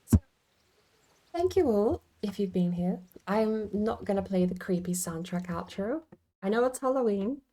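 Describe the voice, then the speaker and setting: A woman's voice: medium pitch, dark tone. A woman streaming a game from home, rambling and thinking aloud as she plays. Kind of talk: casual gameplay commentary.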